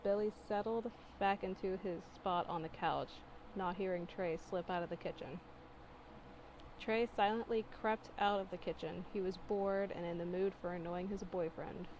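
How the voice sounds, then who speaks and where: A woman's voice: fairly low pitch, fairly even intonation, moderate pace, darkish tone, highs cut off, a little hiss or hum. A woman doing a casual dramatic reading of fan fiction, giggly and incredulous, breaking off for mocking asides.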